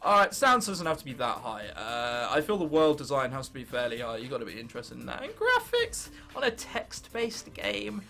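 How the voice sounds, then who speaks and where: A man's voice: medium pitch, wildly swooping pitch, normal speed, gravelly, hardly any pauses, sharp tone, soft background music. A nineteen-year-old man with an English accent doing casual, jokey let's play commentary, swearing freely and reading game text aloud. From England. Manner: jokey, sweary, self-interrupting. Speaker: gaming video host.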